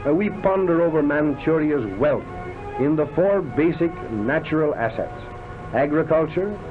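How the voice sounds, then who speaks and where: A man narrates an old travel documentary through a thin, phone-like vintage soundtrack, with loud music playing behind him. His voice sits a little high, and he delivers the script in a declamatory, florid 1930s newsreel manner. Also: animated, moderate pace. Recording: tinny band-limited vintage film soundtrack, loud music. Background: loud background music.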